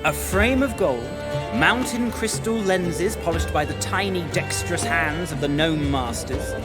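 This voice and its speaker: A man's voice: fairly high pitch, a little slow, lightly acted. An actor playing a theatrical male auctioneer with flowery, showy salesman patter, music underneath. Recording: music behind.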